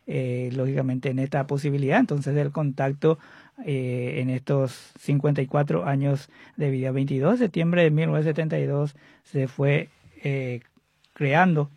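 A man hosting live radio. He explains things in a relaxed way and uses frequent fillers. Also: mid-range voice, normal speed, vocal fry, husky, no room sound. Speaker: radio host.

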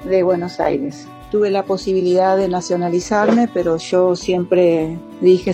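Older lady from paraguay telling her story slow and calm, kinda low warm voice, soft guitar behind. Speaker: interviewee, migrant lawyer. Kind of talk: recorded testimony.

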